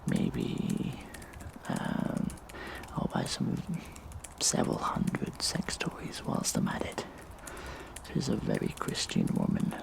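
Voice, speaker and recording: An actor playing a smug, vengeful hacktivist in an audio drama, speaking in a breathy, sinister, mock-casual hush. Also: male voice, medium pitch, heavily acted. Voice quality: breathy, whispery, gravelly creak. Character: vengeful gleeful hacktivist vigilante man. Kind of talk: audio drama monologue, addressed to viewers.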